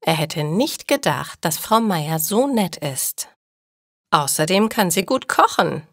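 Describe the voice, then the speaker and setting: A woman reading scripted stories for language learners in short, deliberately separated sentences. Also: on the low side, big pitch swings, fairly bright tone, dry recording, studio quality. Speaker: narrator of German learning stories. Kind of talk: slow scripted story narration for language learners.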